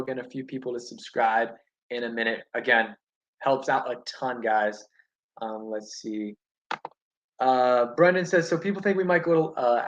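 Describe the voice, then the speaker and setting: A young man chatting casually on a live stream from home, reading viewer comments and answering in a relaxed, rambling way with many fillers. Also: fairly high pitch. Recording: dull lo-fi stream audio.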